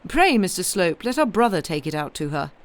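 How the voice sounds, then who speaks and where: A woman's voice: lowish voice, sing-song, fairly quick. A woman voicing an imperious, indignant lady of the house in a novel, speaking formally and commandingly.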